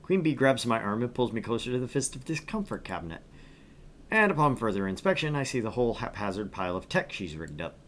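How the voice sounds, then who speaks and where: Streamer reading thru a visual novel, expressive n wry, pitch all over, noisy bg. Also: quick pace. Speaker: gaming streamer. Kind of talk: visual novel read-aloud with casual commentary.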